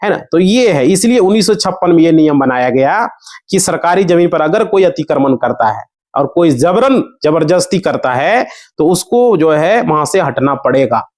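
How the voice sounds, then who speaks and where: A man's voice: fairly high pitch, lively intonation, moderate pace, a little mellow. A man with a Bihari accent giving an informal explanatory talk to viewers, conversational and often checking with tag questions that listeners follow. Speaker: online explainer of land law for villagers.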